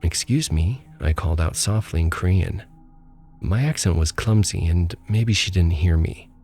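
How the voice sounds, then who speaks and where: A man narrating a first-person ghost story in a calm, measured storytelling voice. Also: deep voice. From small town abroad. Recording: faint music bed behind.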